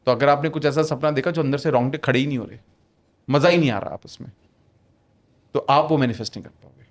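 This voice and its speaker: A man's voice: medium pitch, quick pace. A man on a podcast as a guest author, explaining ideas emphatically with rhetorical questions and English phrases mixed into his talk.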